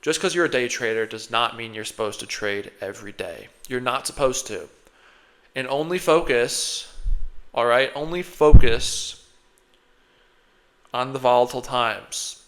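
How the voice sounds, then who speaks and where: A man talks close into his microphone while fighting off a slight cold, sniffling between thoughts. His voice sits at an ordinary middle pitch. He rambles and corrects himself as he reflects, and he is quite hard on himself. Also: unhurried, fairly bright tone. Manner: rambling, thinking aloud, self-critical. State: a little sick, sniffling. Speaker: day trader reflecting on his own trading. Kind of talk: casual self-reflective monologue.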